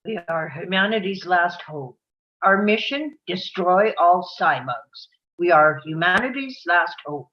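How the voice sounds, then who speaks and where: A woman playing a frazzled, battle-weary space marine from a shooter game, grim and clipped, in an animated film table read. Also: lowish voice, measured pace, lo-fi sound. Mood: grim, robotic.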